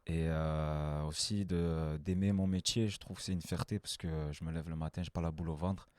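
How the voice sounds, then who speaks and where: Very deep-voiced young man. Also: normal speed, no echo, clean studio sound. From France. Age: 20s. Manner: relaxed, simple, with fillers. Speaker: guest, hairdresser. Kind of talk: casual interview answers.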